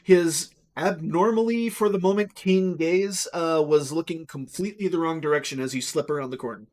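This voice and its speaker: A man acting as dungeon master, narrating a tabletop game in a relaxed, halting way with many fillers. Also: fairly high pitch.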